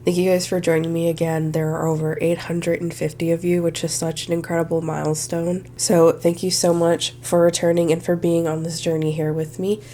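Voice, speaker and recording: A young woman walks through her budget in a friendly, think-aloud way, her voice a little nasal from congestion. She speaks in a low, mellow tone with very little rise and fall. A faint hiss sits under the recording. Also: little pitch movement, fairly quick, few pauses. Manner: friendly, thinks aloud while working numbers. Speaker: budgeting channel host. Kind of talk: casual budgeting walkthrough to camera.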